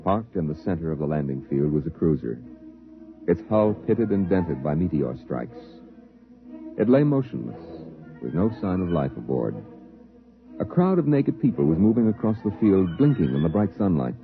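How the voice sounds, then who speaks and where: A solemn science fiction narrator with a very low, dramatically varied voice. The sound is a narrow, vintage radio recording with music behind it. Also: male voice, sing-song, normal speed. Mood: solemn, suspenseful.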